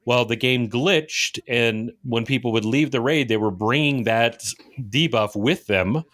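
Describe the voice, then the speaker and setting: A man's voice: medium pitch, measured pace, a bit bright. A man co-hosting a podcast, talking casually and explaining things about video games. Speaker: podcast co-host.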